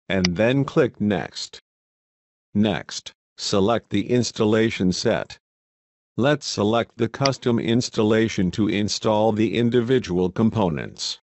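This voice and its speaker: Low-voiced man narrating a tutorial. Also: measured pace, a little hiss or hum. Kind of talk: scripted instructional narration.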